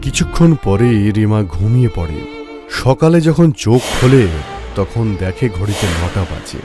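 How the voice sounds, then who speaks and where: A man's voice: on the low side, very animated, normal speed. A man narrating a scripted horror story in a dramatic storytelling style. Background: music.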